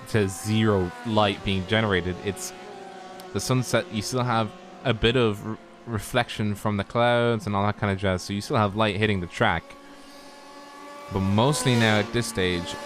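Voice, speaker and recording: A man co-commentating a live online race, explaining at length in a relaxed, agreeable way. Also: on the low side.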